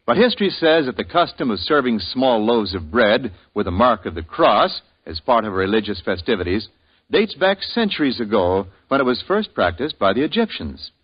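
A man announcing on 1950s radio in a measured, formal delivery. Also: mid-range voice, big pitch swings, husky. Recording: dull old radio broadcast recording.

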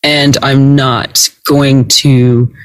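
A woman's voice: rumbling low, flat delivery, drawn-out words, dry recording. Podcast guest, woman, reflective and loosely worded, in a dry room. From United States. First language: Russian. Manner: thoughtful, hedging with "like" and "kind of". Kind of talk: conversational interview. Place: Los Angeles.

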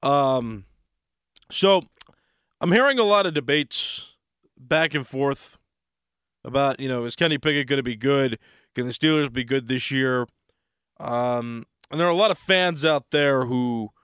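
An American man hosting a sports talk radio show in a casual, unhurried monologue, pausing mid-thought, his voice slightly hoarse. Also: mid-range voice, a bit bright, no room sound, clean studio sound.

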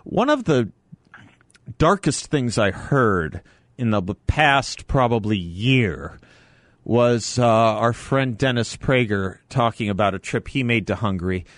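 A man's voice: mid-range voice, lively intonation, measured pace, dry recording, studio quality. A man hosting a conversational talk radio interview. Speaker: radio talk show host.

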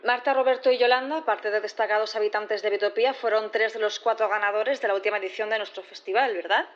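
An actress playing a formal, composed prosecutor who questions a witness methodically and courteously in a courtroom audio drama. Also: female voice, mid-range voice, fairly even intonation, fast talker, a bit bright, muffled recording, natural voice.

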